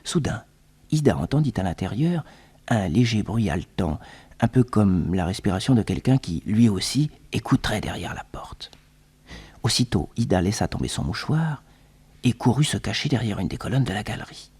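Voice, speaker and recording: A man acting as narrator of a French detective radio serial, with a slightly husky voice, telling it suspensefully. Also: on the low side, sing-song, normal speed, darkish tone, full character voice. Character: detective's loyal assistant recounting the adventure.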